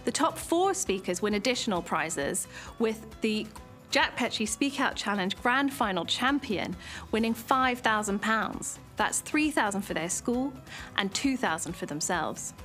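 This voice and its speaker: A woman, hosting an event with clear, upbeat scripted presenting to camera on a dark studio stage. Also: medium pitch, animated, measured pace, faint music behind. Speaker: event host.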